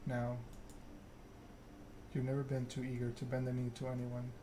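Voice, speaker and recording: Male ASMR game narrator, mid-pitched, even and subdued storytelling, noticeable background noise. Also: normal speed. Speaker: gaming video creator.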